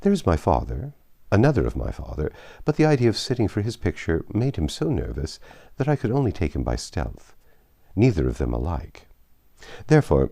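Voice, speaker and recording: A poised young gentlewoman from a classic novel, chatty and gently ironic, voiced by a male reader. Her lines rise a little above his low natural register, with lively, wide-ranging intonation. The timbre stays warm and mellow. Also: creaky, full character voice. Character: confident, witty young gentlewoman. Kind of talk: audiobook reading of a classic novel.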